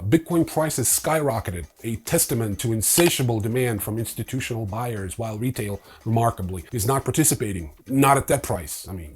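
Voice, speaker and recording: A man hosting a news show with opinionated commentary, informal and sardonic in manner, with a husky voice. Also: mid-range voice, darkish tone. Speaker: host of a crypto news show.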